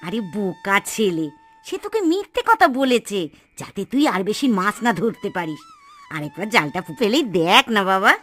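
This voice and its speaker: A woman's voice: mid-range voice, big pitch swings, normal speed, fairly bright tone, soft background music. A woman playing a greedy, scheming, impatient village aunt in a Bengali cartoon, with theatrical, exclamatory delivery. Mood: coaxing. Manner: exclamatory, theatrical, coaxing and scolding. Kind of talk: Bengali cartoon moral-story acting.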